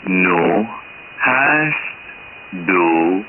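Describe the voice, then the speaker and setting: A man's voice: deep voice, lively intonation, very slow, crowd noise. A synthetic-sounding man's voice reading digits one word at a time, in a clipped, mechanical way. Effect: synthetic computer voice. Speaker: numbers station voice. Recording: tinny shortwave radio with static.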